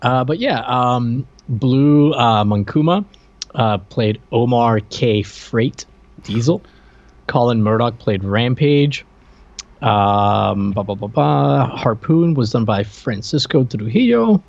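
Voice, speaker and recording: Guy cohosting a casual podcast, kinda rambly and halting, average pitch, slightly muffled sound. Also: lively intonation, gravelly, a little mellow, dry recording. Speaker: podcast co-host. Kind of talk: casual chat about cartoons and cast lists.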